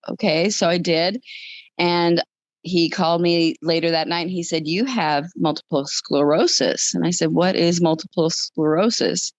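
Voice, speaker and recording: A woman's voice: on the low side, dry recording. A woman in her fifties telling a personal story conversationally as a podcast guest, stressing points by repeating phrases. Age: 50s.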